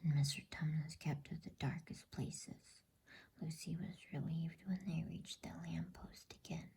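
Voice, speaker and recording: A woman reading a bedtime story in a gentle, unhurried ASMR whisper, soft and breathy. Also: sits low, steady tone. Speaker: narrator and channel host. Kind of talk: ASMR bedtime story narration, read softly.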